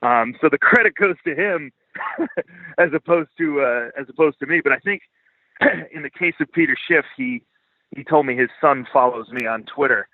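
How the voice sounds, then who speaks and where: A man's voice: a little high, normal speed, dry recording. An American man from Philadelphia chatting casually as an interview guest, blunt, profane and self-deprecating. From Philadelphia, United States. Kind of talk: casual unscripted interview chat. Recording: thin phone-like line.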